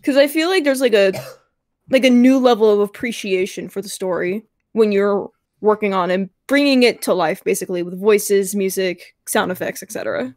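A woman's voice: medium pitch, moderate pace, a little mellow, dry recording, clean studio sound. A woman voice actor on an online panel, talking casually with many fillers.

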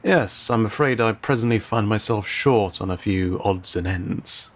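A low-pitched, husky old vampire, acted by a man, speaking slowly in an intimate audio drama. He is courteous and teasing, with a lonely hunger beneath his archaic, formal words. His breathy delivery often sinks toward a whisper. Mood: playful, courteous. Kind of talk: intimate audio roleplay addressed to the listener.

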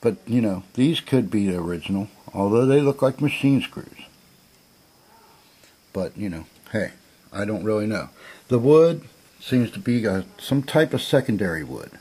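Blunt American man with a mellow voice. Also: lowish voice, expressive, normal speed, husky. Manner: blunt, self-assured, folksy phrasing. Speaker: auction seller presenting an item. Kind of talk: informal sales video narration. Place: indoor room, narrating from behind a handheld camera.

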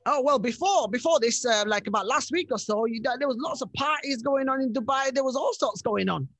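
A man's voice: very high-pitched, fairly quick, a bit bright. A young man hosting an upbeat radio interview, speaking into a studio microphone. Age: young adult. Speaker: radio show host. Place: small radio studio indoors. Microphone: studio microphone with headphones.

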